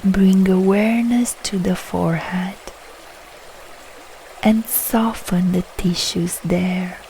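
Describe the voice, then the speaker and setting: A woman guiding a yoga nidra relaxation meditation, speaking in soft, soothing, unhurried short phrases with long pauses. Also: mid-range voice, steady tone, a little hiss or hum, rough recording. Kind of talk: slow guided relaxation meditation.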